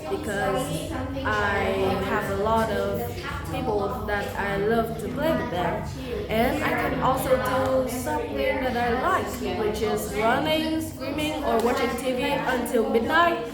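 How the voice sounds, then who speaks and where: A woman with a Vietnamese accent answers mock exam questions slowly and hesitantly, pausing and reaching for fillers as she goes. Her voice sits a little high. The recording is echoey, with loud crowd chatter behind her. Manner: hesitant, halting, many fillers like "you know". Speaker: test candidate.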